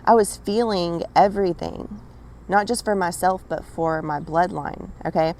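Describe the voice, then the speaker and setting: Raspy woman giving a spiritual reading. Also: on the low side, a little slow, a little mellow, slight background noise. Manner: addresses listener directly, frequent "right?" and "okay?". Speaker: spiritual channeler. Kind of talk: casual spiritual monologue.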